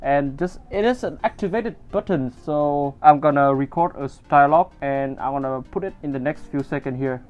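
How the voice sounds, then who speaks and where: A man's voice: on the high side, normal speed, hardly any pauses, a little mellow, dull audio. Guy doing a casual toy review, walks you through it step by step, bit of music in back. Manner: step-by-step explaining. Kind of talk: casual product demonstration.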